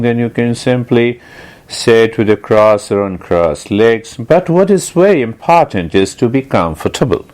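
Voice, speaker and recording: A man teaching guided meditation in a deliberate, explanatory manner, repeating key phrases and posing questions as he instructs. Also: mid-range voice, darkish tone, clean tone.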